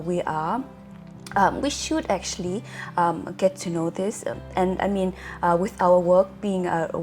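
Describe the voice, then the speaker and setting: A woman's voice: fairly low pitch, a little mellow. A woman from Germany explaining casually in conversation, hesitant and often searching for words. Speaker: wine-savvy guest explaining to host.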